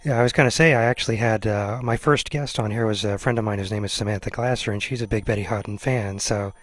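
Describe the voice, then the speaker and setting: A man's voice: on the low side, fairly even intonation, fast. An American man hosting a casual interview in a hesitant, self-deprecating way. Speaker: show host, interviewer.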